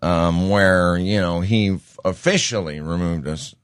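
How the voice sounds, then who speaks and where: A middle-aged man with a deep voice hosting a show in a casual monologue, rambling and interrupting himself, often repeating words. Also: unhurried, clear, resonant tone, no room sound, clean studio sound. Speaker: show host.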